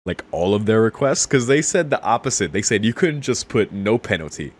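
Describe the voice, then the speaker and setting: Low-voiced man doing casual let's play commentary. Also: sing-song, fairly quick. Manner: amused, informal, reacting with short exclamations. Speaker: streamer playing and reading a visual novel.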